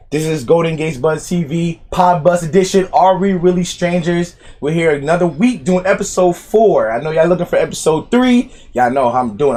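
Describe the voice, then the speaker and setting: A man's voice: a little high, darkish tone. A man hosting a group podcast in an informal, slangy way. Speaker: podcast host.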